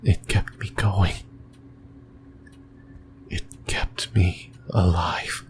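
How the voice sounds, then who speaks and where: A man voicing a lonely, possessive demon in a breathy, brooding whisper for an ASMR roleplay. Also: sits low, fairly even intonation. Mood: tender, yearning. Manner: slow, halting, brooding delivery. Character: lonely, possessive sleep paralysis demon, lost and yearning.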